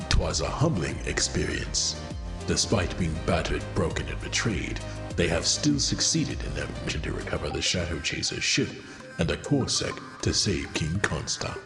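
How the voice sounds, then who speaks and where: A man playing a grave, theatrical narrator of a space hero audio drama, formal and portentous in his scripted delivery. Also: low-pitched. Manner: portentous, formal, archaic turns of phrase. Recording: echoey long reverb, music behind.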